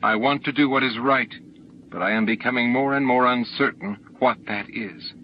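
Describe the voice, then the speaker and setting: A man's voice: mid-range voice, moderate pace, lightly acted. A man voicing an honorable, troubled male alien in an audiobook, earnest and formal in his speech. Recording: dull noisy audiobook recording.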